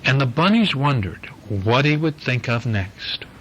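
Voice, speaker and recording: A man reading a picture book aloud to his young daughter at bedtime at home, gently and unhurried. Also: medium pitch, lively intonation, a little hiss or hum, rough recording. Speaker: father reading a bedtime story. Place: home bedroom.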